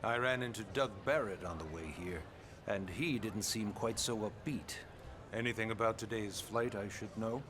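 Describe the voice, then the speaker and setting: An actor playing a formal, courteous middle-aged government secretary with an authoritative manner in a video game. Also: male voice, fairly low pitch, moderate pace, lightly acted. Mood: stern, authoritative. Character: formal, commanding male government secretary and former captain. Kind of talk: video game cutscene voice acting. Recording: clear background ambient noise.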